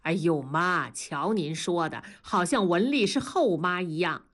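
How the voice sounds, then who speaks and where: A woman's voice: fairly low pitch, expressive, a little slow, a bit bright. Middle-aged dad, placating but exasperated, voiced by a woman reading aloud; storybook voice.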